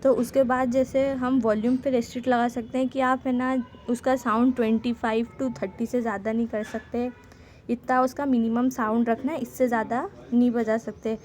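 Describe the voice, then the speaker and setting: A woman with an Indian accent, a native Hindi speaker, explaining a lesson as an English teacher, slowing to a halting word-by-word read for English text. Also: a little high, little pitch movement, darkish tone. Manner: halting, slow word-by-word when reading English.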